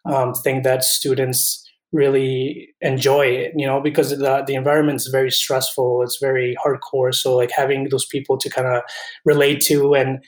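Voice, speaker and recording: A man's voice: mid-range voice, level tone, moderate pace, echoey room, rough recording. A man in his twenties from Colombia, a veterinary student answering interview questions in an earnest, thoughtful way with frequent restarts.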